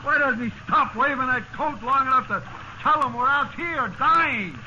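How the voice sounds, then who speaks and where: Bitter castaway, very high male voice. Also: moderate pace. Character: bitterly sarcastic, despairing shipwrecked man. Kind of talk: 1950s radio drama acting. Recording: muffled noisy old radio recording.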